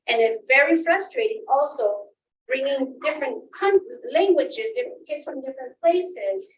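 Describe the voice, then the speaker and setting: A woman with a somewhat high voice speaks out at a public meeting. She is emphatic, repeating phrases and checking in as she goes. The sound is distant and echoey, slightly muffled with faint noise. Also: lively intonation, normal speed. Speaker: school staff member speaking at a public meeting. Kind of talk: impassioned bilingual public comment. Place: indoor public meeting room.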